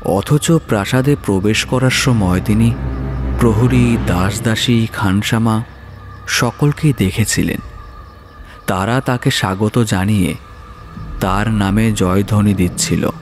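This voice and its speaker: A man narrating a scripted horror story in a measured, dramatic way, pausing between phrases. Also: fairly low pitch, background noise. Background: music.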